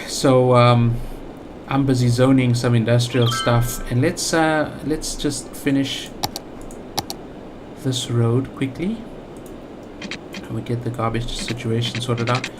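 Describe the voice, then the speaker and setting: A man's voice: medium pitch, noisy background. A man with a South African accent giving casual let's play commentary, thinking aloud with lots of fillers and restarts. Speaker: gaming channel host.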